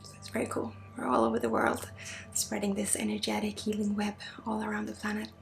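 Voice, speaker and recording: A woman leading an ASMR energy healing session, soft-spoken and often slipping into a breathy whisper. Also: mid-range voice, completely flat delivery, brisk. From Sweden. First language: Swedish. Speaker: energy healer and spiritual guide.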